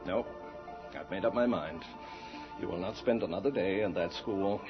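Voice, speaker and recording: A man's voice: sits low, normal speed. An actor playing a harsh, domineering, fanatically religious father, preachy and declamatory, in an old-time radio drama. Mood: righteous anger. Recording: muffled old radio recording with music.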